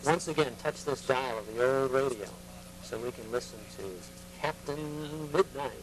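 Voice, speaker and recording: A man's voice: mid-range voice, moderate pace, over music, low-quality recording. A man hosting a local TV talk show, chatting with a guest and signing off in an easygoing way. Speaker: TV host.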